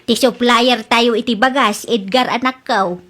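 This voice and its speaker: A woman's voice: on the high side, steady tone, unhurried, a bit bright. A woman voicing an older mother who runs a rice store, in a radio drama. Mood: worried. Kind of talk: Ilocano radio drama acting.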